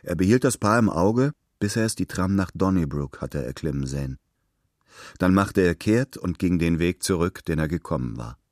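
Casting: male story narrator, low deep register, scripted prose, dry intimate studio sound. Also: lively intonation, moderate pace, darkish tone, no echo.

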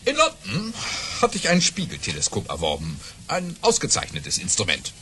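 Audio drama baron: bragging storyteller, theatrical, forceful and highly animated, faint ambience behind. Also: male voice, normal speed, slight background noise, heavily acted. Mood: animated, proud. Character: boastful baron telling tall tales with theatrical relish.

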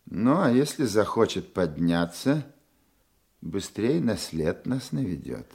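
Veteran detective chief, low voice, calm, methodical, dry authority, radio play. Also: male voice, vocal fry, slightly rough, full character voice. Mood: firm, businesslike. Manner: measured, questioning, dryly authoritative. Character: calm seasoned male police investigation chief, methodical, wry. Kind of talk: Russian radio drama acting.

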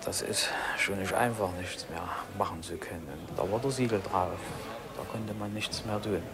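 A man from East Germany reflecting haltingly in an interview, subdued and repeating himself. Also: lowish voice, crowd noise. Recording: clearly noisy location recording.

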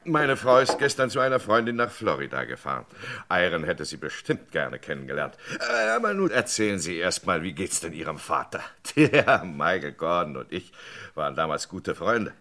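An actor playing a hearty older man in a German crime radio play, animated and talkative. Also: sits low, moderate pace, exaggerated voice. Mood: wistful, reproachful. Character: hearty old American family friend, sociable and talkative.

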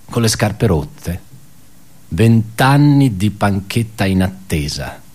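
Radio drama monologue: world-weary, ironic office worker, low-pitched, conversational and self-correcting. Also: male voice, a little mellow. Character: wry, bitter male office worker recounting colleagues' suicides. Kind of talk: theatrical radio monologue, reflective storytelling.

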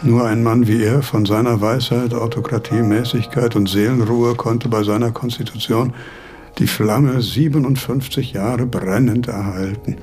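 An actor playing a fussy old philosophy professor in a radio play, formal, courtly and pedantic. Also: male voice, mid-range voice, fairly even intonation, moderate pace, music in the background, natural voice. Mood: musing. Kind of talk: German radio play acting.

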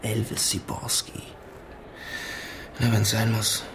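An actor playing a cocky would-be art thief in a German radio crime drama, with a creaky voice and dry, sarcastic delivery. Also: male voice, on the low side, monotone, slow, slight background noise. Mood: sceptical.

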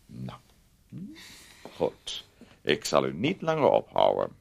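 A man acting in a Dutch radio play as a smooth, condescending figure who needles and insinuates in an unctuous, mocking way. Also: rumbling low, wildly swooping pitch, takes it slowly, full character voice. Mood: unctuous, patronising.

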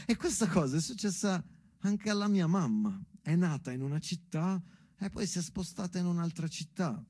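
A man's voice: sits high, expressive, moderate pace, a little mellow, no room sound, studio quality. A man performing an earnest, dramatic narrative monologue as a stage actor, addressed to his child. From Italy.

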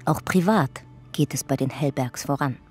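An actress narrating a radio drama family chronicle in a detached, clipped, documentary manner. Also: female voice, a bass voice, moderate pace, darkish tone. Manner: matter-of-fact, clipped, documentary. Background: faint music.

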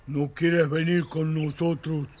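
An actor playing a touchy, grumbly old toothless dog in a children's audio story, woofing between phrases. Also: male voice, on the high side, flat delivery, slow, a little mellow, a little hiss or hum. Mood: matter-of-fact. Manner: barks out woofs between phrases.

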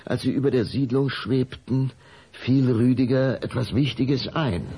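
A man with a gravelly voice narrating a children's radio play in a dramatic storybook style. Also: medium pitch, a little slow, warm tone.

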